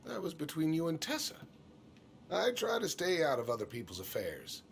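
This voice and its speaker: Evasive middle-aged man, very lively intonation. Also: fairly high pitch, creaky, a little hiss or hum, exaggerated voice. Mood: defensive. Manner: hesitant, measured, evasive. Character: evasive, folksy small-town store boss, middle-aged man. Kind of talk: naturalistic video game dialogue.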